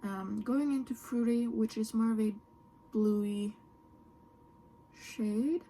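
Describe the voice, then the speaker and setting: Slow, gravelly-voiced woman. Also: on the high side, fairly even intonation, poor audio. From Norway. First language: Norwegian. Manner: soft, often trailing into a whisper. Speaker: beauty vlogger. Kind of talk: makeup tutorial chat.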